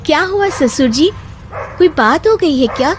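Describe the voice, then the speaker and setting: A woman playing a sharp-witted young daughter-in-law with a pert, emphatic manner in a cartoon moral story. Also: high voice, expressive, moderate pace, noisy background. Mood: concerned. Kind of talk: animated moral story dialogue. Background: background music.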